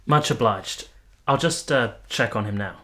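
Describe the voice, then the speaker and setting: A male actor plays a gentlemanly nineteenth-century army captain for radio drama. His mid-range voice is polite and formal, with an earnest, love-struck sincerity. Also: medium pitch, expressive, unhurried, heavy background noise, close to an everyday voice. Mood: friendly. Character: courteous, smitten young army captain in 1870s Italy. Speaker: actor and narrator in a radio play. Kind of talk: radio drama acting, partly first-person narration.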